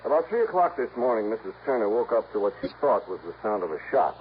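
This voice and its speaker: An American man playing an earnest, businesslike insurance man in a 1950s radio drama, brisk and matter-of-fact with a folksy touch. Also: fairly low pitch, very animated. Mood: grave, explanatory. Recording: muffled, faintly noisy old radio recording.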